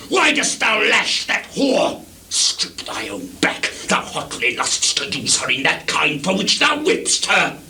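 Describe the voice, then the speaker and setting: An actor playing a mad, bitter old king in theatrical Shakespearean style, lurching between declamatory shouts and hushed mutters. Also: very high-pitched, creaky, exaggerated voice. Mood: angry. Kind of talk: theatrical Shakespearean stage recitation. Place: theatre stage before a live performance audience. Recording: 1980s live theatre recording, background noise.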